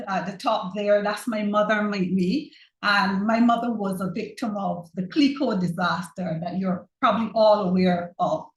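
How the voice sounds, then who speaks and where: A woman from Barbados with a Barbadian accent, giving an earnest, personal online presentation on governance. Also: mid-range voice. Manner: earnest, personal, measured speaker. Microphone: video call. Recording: roomy echo on a video call.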